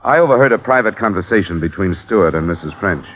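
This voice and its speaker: An actor playing a tough, world-weary American investigator in a 1950s radio detective drama, clipped and matter-of-fact. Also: male voice, deep voice, lively intonation, quick pace, fairly bright tone. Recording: dull old radio recording.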